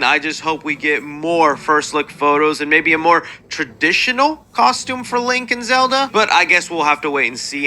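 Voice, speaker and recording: Bright, forceful high-voiced man talking movie news. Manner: enthusiastic, slangy, conversational. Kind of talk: casual movie news commentary to camera.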